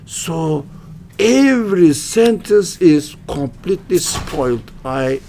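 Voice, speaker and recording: Slow, gravelly Turkish-accented male lecturer. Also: medium pitch, dark tone, slight background noise. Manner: halting, restarts phrases, wry self-mocking asides. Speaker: religious studies teacher. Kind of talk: informal classroom lecture. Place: lecture room at a university religious center.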